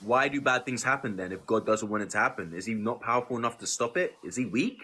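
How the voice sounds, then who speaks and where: A man's voice: mid-range voice, animated, quick pace, bright, forceful tone, slightly rough. A man hosting a live-stream debate, grilling callers with repeated questions in a condescending tone. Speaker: debate host.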